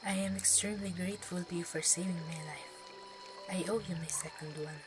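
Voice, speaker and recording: A woman playing a gentle young maiden of legend in an amateur radio drama, with formal phrasing. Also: fairly low pitch, steady tone, brisk, over loud music, lightly acted. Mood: grateful.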